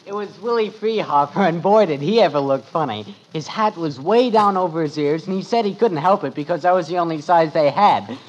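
An actor playing an eager, oblivious teenage son in a mid-century radio comedy, chatty and guileless. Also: male voice, fairly high pitch, animated, brisk, few pauses, natural voice. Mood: chatty, amused. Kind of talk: 1940s-50s radio sitcom acting. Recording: dull lo-fi old radio recording.

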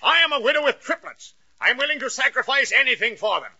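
Frantic absent-minded editor, gravelly and swooping, old radio comedy style. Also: male voice, very high voice, sing-song, pushed and bright, heavily acted. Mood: blustering. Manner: blustering, rapid, scatterbrained. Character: frantic, absent-minded, bossy newspaper editor, a grown man. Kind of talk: 1940s radio comedy acting. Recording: muffled old radio recording.

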